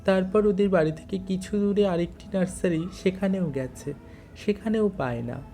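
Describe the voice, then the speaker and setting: A storyteller narrating a scripted audio tale in an unhurried, literary way. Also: mid-range voice, creaky, mellow, faint music behind.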